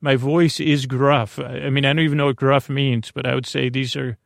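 A man hosting a sleep podcast, with a creaky, gravelly voice, rambling in a meandering, halting monologue that often stops and restarts. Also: mid-range voice, level tone, dry recording, clean studio sound. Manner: meandering, halting, self-deprecating.

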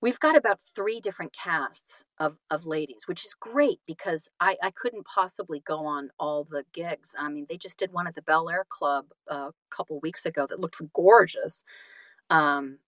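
A woman's voice: deep voice, fairly bright tone, dry recording. A woman chatting casually as an interview guest, effusive and often stopping to correct herself mid-sentence. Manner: effusive, halting and self-correcting. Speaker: interview guest, singer and audiobook narrator.

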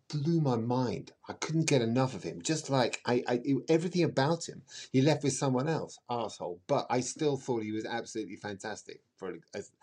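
A middle-aged man with a mid-pitched voice talks as an interview guest. He explains conversationally, leaning on key words, repeating himself and pausing for effect. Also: darkish tone. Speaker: astrologer guest.

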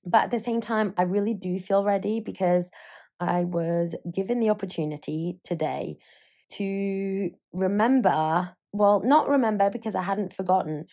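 A woman in her thirties with a slightly croaky voice, chatting casually on her own podcast, rambling and poking fun at herself. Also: mid-range voice, normal speed, mellow. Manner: rambling, self-mocking, loses her train of thought. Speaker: podcast host. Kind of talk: casual solo chat.

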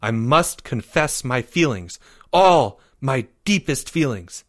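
A man's voice: mid-range voice, lively intonation, slow, a bit bright. A man voicing a lovestruck young boy in a storybook, theatrical and pompous. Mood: dreamy, grandiose.

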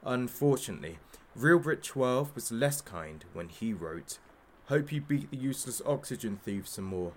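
A man's voice: medium pitch, expressive, moderate pace, a little hiss or hum, lightly acted. Man acting a theatrical, cruelly mocking masked show host. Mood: mocking showmanship. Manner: mocking, showman-like, sarcastic. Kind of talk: audio drama acting.

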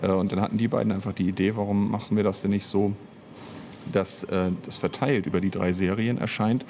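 A low-pitched man explains things at length in a casual interview. He often breaks off and restarts his sentences. There is some noise behind him. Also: steady tone, fairly quick, darkish tone. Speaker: interviewed audio drama author and director. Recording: noticeable background noise.

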